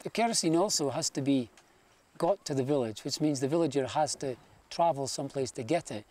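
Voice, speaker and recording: A man's voice: medium pitch, fairly quick, a little mellow. A man, an electrical engineer, explaining things plainly in an interview, with a few restarts.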